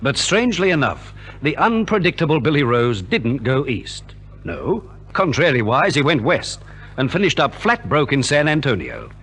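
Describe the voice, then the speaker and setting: A man's voice: on the high side, big pitch swings, normal speed, fairly bright tone, slightly rough. A man narrating a music program as host in a theatrical, polished 1950s radio announcer style. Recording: old 1950s radio recording.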